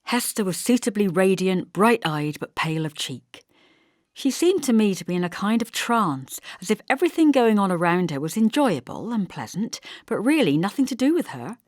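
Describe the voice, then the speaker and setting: A woman narrating a literary novel as an audiobook, in a measured, expressive storytelling manner. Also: mid-range voice, dry recording, studio quality.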